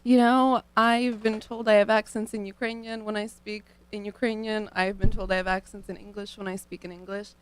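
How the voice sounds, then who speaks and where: A young woman from Ohio, a student guest on a radio show, answering questions in an earnest, measured, thoughtful way into a studio microphone. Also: medium pitch, little pitch movement, clear, resonant tone, low-quality recording. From Cleveland, Ohio, United States. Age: young adult.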